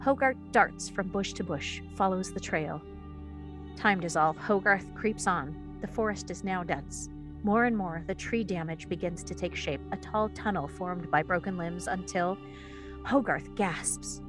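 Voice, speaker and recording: A woman's voice: lowish voice, measured pace, over music. A woman reading stage directions as the narrator of a screenplay table read.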